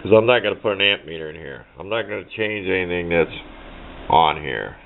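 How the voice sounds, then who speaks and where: Low-voiced man on muffled, noisy audio. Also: animated, fairly quick. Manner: rambling, folksy, thinking aloud. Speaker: hobbyist presenting a radio project. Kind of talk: casual hands-on show-and-tell. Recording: muffled phone-like audio with clear background noise.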